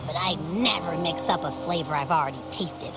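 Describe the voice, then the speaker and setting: An actress voicing a cocky, gluttonous little creature sidekick, casual and boastful, in scripted game dialogue. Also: female voice, lowish voice, a little fast, natural voice. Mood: smug. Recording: game music behind the voice.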